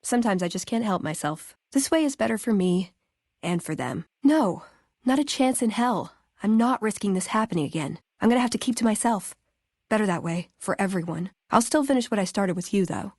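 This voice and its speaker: Game role: earnest young female scribe, American, dryly wry and conversational, mid pitch, close dry studio sound. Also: lively intonation, normal speed, darkish tone, dry recording. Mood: troubled, regretful. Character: wry, earnest young woman scribe of a tech-hoarding order. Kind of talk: video game character dialogue.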